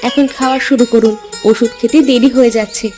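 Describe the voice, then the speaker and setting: A woman's voice: on the high side, steady tone, fast, warm tone, lightly acted. A woman voicing a patient, attentive village housewife and daughter-in-law in a cartoon. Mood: caring. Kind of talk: dubbed cartoon dialogue. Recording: music under the voice.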